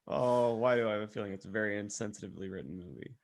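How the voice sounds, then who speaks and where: A man co-hosting a podcast in relaxed, casual chat among friends. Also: fairly low pitch, very fast. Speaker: podcast co-host.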